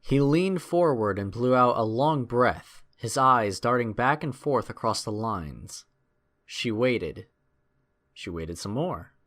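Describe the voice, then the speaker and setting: A male narrator reads an adventure story aloud in a dramatic, scripted style. His voice sits at a medium pitch and moves up and down with lively intonation. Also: slow pace.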